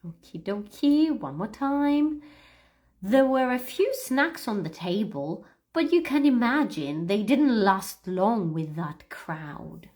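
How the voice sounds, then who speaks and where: A woman hosting a livestream English lesson as the teacher, speaking deliberately and encouragingly to learners as she models phrases for them. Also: medium pitch, animated, darkish tone. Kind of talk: read-aloud pronunciation lesson, addressing learners.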